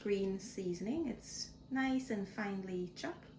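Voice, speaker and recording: Slow-talking woman in an echoey kitchen. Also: mid-range voice, background noise. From Guyana. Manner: slow, friendly step-by-step explaining. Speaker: cooking channel host. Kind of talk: casual cooking tutorial. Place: home kitchen. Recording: echoey kitchen with clattering pots.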